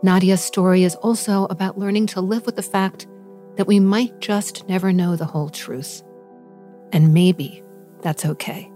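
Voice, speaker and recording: Podcast host, female, mellow low voice, measured and reflective delivery. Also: steady tone, faint music behind. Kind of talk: scripted intro then interview questions.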